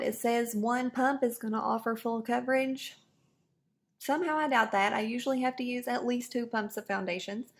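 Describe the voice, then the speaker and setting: Woman vlogger with a cold, kinda hoarse, casual makeup review, talks through every step. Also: fairly high pitch. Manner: chatty, explains each step as she goes. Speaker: beauty vlogger. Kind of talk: casual product review to camera.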